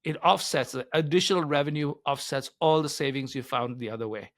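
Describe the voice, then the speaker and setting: A man's voice: a little high, brisk, a bit bright, no room sound, clean studio sound. An older man giving conversational, explanatory interview answers, laying out his points emphatically one by one. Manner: emphatic, explains in numbered points with acted-out quotes. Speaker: business author and advisor being interviewed.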